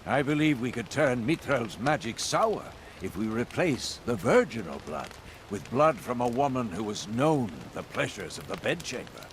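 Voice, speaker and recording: Scheming conspirator, lively inflection. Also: male voice, medium pitch, moderate pace, a little hiss or hum. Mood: conspiratorial. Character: scheming male fugitive conspirator, cunning and conspiratorial. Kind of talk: fantasy video game voice acting. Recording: faint outdoor game ambience behind.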